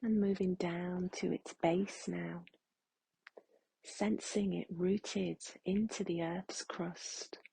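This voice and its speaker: A woman guides a meditation in a hushed, very breathy voice with a soft, mellow tone. She speaks very slowly and gently, leaving long pauses between phrases. The sound is dry and free of room echo. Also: fairly low pitch, little pitch movement.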